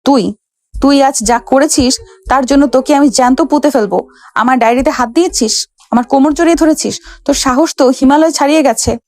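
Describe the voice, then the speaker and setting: A woman's voice: sits high, fairly quick, a little mellow, lightly acted. A woman playing a haughty, hot-tempered rich young woman in an audio love story, imperious and scolding. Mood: furious, commanding.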